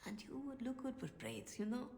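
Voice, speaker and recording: An actress playing a calm, knowing middle-aged female cleric in a dramatic video game, measured and gentle, at times hushed. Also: a little high. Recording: echoey room, faint music behind.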